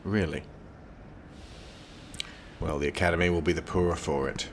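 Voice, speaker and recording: An actor playing an aloof, sardonic young prince and magician in an audio drama, speaking formally, dryly and guardedly. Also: male voice, low voice, fairly even intonation, moderate pace, slight background noise, lightly acted. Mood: awkward, restrained. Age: young adult. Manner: formal, dry, guarded speech.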